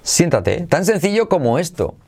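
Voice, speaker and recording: A man teaching English pronunciation, drilling phrases slowly and over again with playful asides. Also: a little high, big pitch swings, a little mellow. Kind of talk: lively pronunciation lesson.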